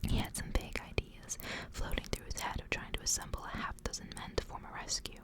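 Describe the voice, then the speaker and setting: A woman speaks entirely in a hushed, unvoiced whisper. Her delivery is that of an ASMR creator reading for listeners, kept very quiet throughout. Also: fast.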